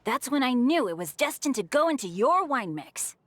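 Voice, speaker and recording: A woman's voice: sits high, sing-song, normal speed, fairly bright tone, no room sound, full character voice. A woman playing a cheerful, accident-prone teenage boy adventurer in a video game, with a youthful boyish voice and an eager, sheepish manner. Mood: sheepishly cheerful. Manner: eager, sheepish, good-natured. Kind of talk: video game cutscene acting.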